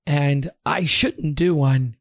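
A male podcast host who has lost his voice, talking hoarsely and with strain in a casual solo episode. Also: on the high side, slow pace, no echo, clean studio sound. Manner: strained, pushing through pain.

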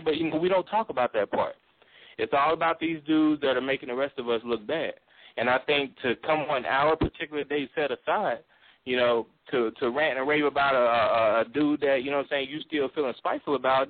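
A man with a baritone voice talks casually and emphatically on a call-in talk show. He laughs often and keeps saying "you know what I'm saying". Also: dry recording. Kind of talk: casual opinionated chat. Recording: thin phone-line sound.

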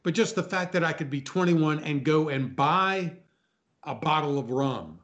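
A man's voice: on the high side, animated, moderate pace, fairly bright tone, highs cut off. A man in his sixties reminiscing casually as a talk show guest, often repeating phrases for emphasis. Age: 60s.

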